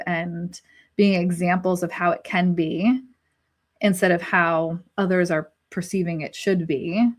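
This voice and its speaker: A woman hosting a live panel discussion, speaking reflectively with frequent restarts, who is five months pregnant. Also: lowish voice. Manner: reflective, searching, many restarts. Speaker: host.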